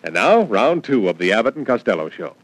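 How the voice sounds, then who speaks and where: Animated male radio commercial announcer. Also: on the low side, a little fast, no room sound, poor audio. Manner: polished, emphatic sales delivery. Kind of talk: 1940s scripted radio advertising.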